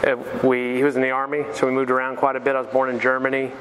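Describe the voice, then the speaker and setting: A man's voice: mid-range voice, monotone, rapid-fire, fairly bright tone, a little hiss or hum, low-quality recording. A man in his thirties, originally from Pensacola, Florida, giving a casual finance seminar as a mortgage sales manager. He is chatty and self-deprecating and keeps asking the audience questions. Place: lecture room.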